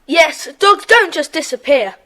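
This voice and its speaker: A woman's voice: sits high, animated, measured pace, bright, forceful tone, full character voice. A woman playing a keen, outspoken schoolboy club member in a children's audio drama. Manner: eager, outspoken.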